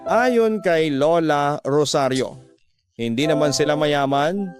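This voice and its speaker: A man's voice: a little high, slow pace. A man giving scripted narration as the storyteller of a radio drama. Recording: music bed under the voice.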